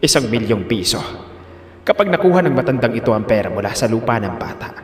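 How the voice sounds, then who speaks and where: A man voicing a corrupt, greedy doctor with a formal, grave, measured manner in an audio drama. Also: mid-range voice, sing-song, slightly rough, pitched below the performer's own voice. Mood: greedy scheming.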